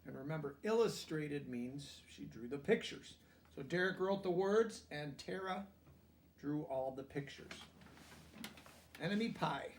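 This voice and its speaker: A man with a high-pitched voice reads a picture book aloud to children. His narration is expressive and plainly explained. He sounds set back from the microphone. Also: unhurried, distant mic, a little hiss or hum. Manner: explains asides simply to young listeners. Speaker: storybook reader.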